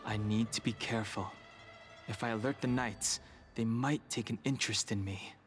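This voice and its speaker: A guarded young man from a fantasy game, played by a male actor, broods in a restrained, serious tone. The voice sits fairly low, with faint music underneath. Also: fairly even intonation, normal speed, fairly bright tone, natural voice. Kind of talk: fantasy game dialogue, inner monologue.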